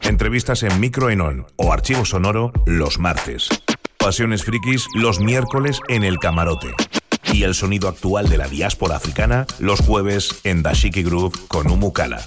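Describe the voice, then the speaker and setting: Low voice dude hyping radio shows, punchy, loud beat with scratching behind. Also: male voice, lively intonation, a little slow. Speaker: radio promo announcer. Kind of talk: scripted radio promo. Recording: loud electronic music with scratching.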